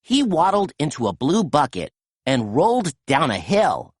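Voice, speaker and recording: A woman reading a children's picture book aloud in a gentle, expressive storytelling manner. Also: sits low, unhurried, breathy edge, no room sound, clean studio sound. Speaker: storytime reader.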